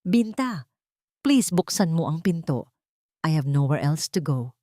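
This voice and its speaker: A woman's voice: low voice, sing-song, a little slow, mellow, no echo, studio quality. A woman with a Filipino accent voicing a desperate, remorseful husband who pleads urgently in an audio drama. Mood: desperate pleading. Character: desperate, remorseful husband begging his wife.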